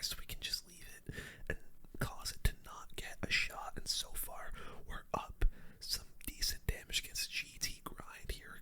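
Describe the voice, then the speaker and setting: A person doing gameplay commentary in a breathy, unvoiced ASMR whisper, using casual gamer slang. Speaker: gaming streamer, commentator.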